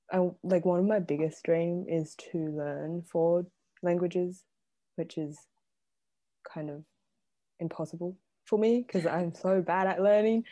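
A woman's voice: fairly low pitch, warm tone. A young woman from Taiwan chatting casually on a student podcast, giggly and full of "like". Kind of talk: casual chat.

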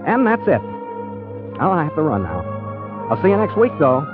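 An actor playing an affable, easygoing private detective in 1940s radio style, chatty and a little hesitant around women. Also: male voice, fairly high pitch, huge pitch swings, moderate pace. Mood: breezy. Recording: tinny 1940s radio recording, music.

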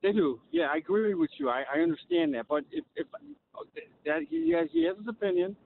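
A man's voice: very high-pitched, big pitch swings, fairly quick, gravelly. A man calling a sports radio show on a dull, slightly noisy phone line, arguing his opinion casually and repeating phrases.

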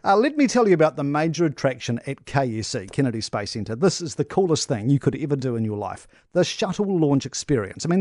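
A New man telling a travel story on breakfast radio with animated enthusiasm. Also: a little high, no room sound, clean studio sound. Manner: animated storytelling, talks up attractions. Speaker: travel correspondent.

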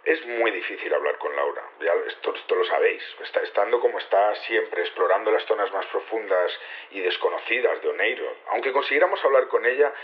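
An actor playing a calm, resolute leader of a secret plot in an audio drama, speaking formal, measured Castilian Spanish. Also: male voice, fairly low pitch, natural voice. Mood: grave. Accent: Castilian Spanish from Spain. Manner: measured, formal, addressing a gathering. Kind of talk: Spanish audio fiction acting. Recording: muffled, band-limited radio-like recording.

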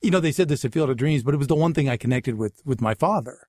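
A man's voice: medium pitch, lively intonation, quick pace, a little mellow, dry recording, clean studio sound. A middle-aged man from Massachusetts chatting casually as an interview guest. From Massachusetts, United States. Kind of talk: casual chat.

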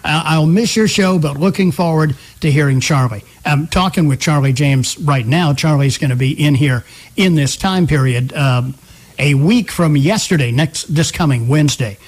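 A man's voice: a little high, normal speed, breathy edge. A man hosting a live talk radio show, chatting conversationally on a close studio microphone.

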